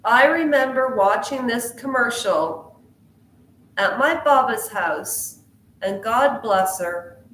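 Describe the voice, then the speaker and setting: A woman's voice: on the high side, slow, a bit bright. Chatty woman vlogger in an echoey room. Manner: chatty, jumps between tangents, quizzes the viewer. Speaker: pop culture vlogger. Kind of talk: rambling casual commentary to viewers.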